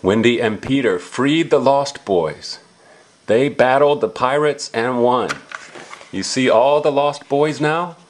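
A man's voice: mid-range voice, slow pace. A man reading a picture book aloud to a young child, asking simple questions and exclaiming as he explains.

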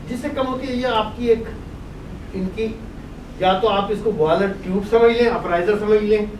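An older man with a Pakistani Urdu accent lecturing at a whiteboard through a face mask, quizzing his class and answering himself. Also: sits high. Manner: asks the class questions and answers them himself; talks through a face mask while writing on the board. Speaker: professor lecturing on boiler chemistry. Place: brick-walled meeting room indoors. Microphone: distant room mic. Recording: echoey room from far off with noise.

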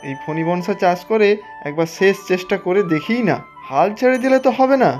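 A man's voice: high voice, expressive, fairly quick, darkish tone, soft background music. A man voicing a willing, grateful young farmer in a cartoon, speaking earnestly. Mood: resolved. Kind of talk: Bengali cartoon voice acting.

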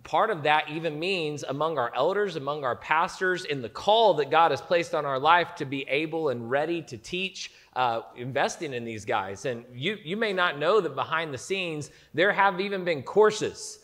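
A man in his thirties, a pastor, co-teaching a sermon with a slightly scratchy voice and an energetic delivery in a large church auditorium. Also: on the high side, normal speed, hardly any pauses. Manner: energetic, gestures while speaking. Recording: long hall reverb.

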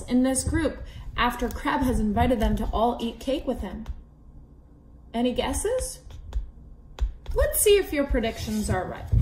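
Woman in her twenties, high-pitched, unhurried children's storyteller, expressive, roomy recording. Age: 20s. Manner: deliberate, animated, asks children questions. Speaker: read-aloud storyteller for children. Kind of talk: expressive picture-book reading. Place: small indoor room at a table. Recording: echoey room, background noise with clicks.

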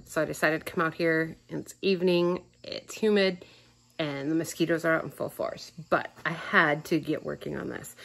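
A woman in her forties filming her furniture flipping vlog on a phone in a cluttered garage workshop, talking casually with lots of ums as she works. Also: fairly low pitch. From American Midwest. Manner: chatty, lots of ums, talks while working. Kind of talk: casual walk-through vlog. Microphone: phone camera, often held at arm's length.

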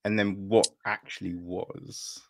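A man's voice: lowish voice, level tone, slow, vocal fry, slight background noise. An older man hosting a casual podcast interview, speaking informally with hesitant phrasing. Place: indoor room at home, on a video call. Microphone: video call.

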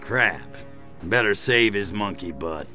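A man voicing a sarcastic gray alien invader in a video game cutscene, delivering deadpan quips. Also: on the low side, slow pace, soft background music, full character voice. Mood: sardonic.

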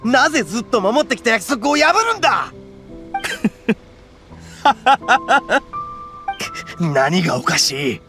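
Rowdy boastful oni bruiser in a game, gravelly and high, swings wildly in pitch. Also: male voice, sing-song, slow pace, pauses often, pushed and bright, heavily acted. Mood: proud, indignant. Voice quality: gravelly, swaggering rough speech. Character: brash boastful male oni brawler, rowdy and proud. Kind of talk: Japanese game voice acting. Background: game music.

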